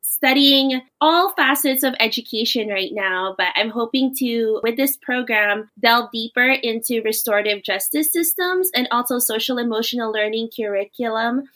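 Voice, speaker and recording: A woman's voice: fairly high pitch, normal speed, few pauses, fairly bright tone. A young woman from the Philippines who works as a teacher, telling her story earnestly as a podcast guest. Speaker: guest, teacher and doctoral student. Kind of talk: podcast interview.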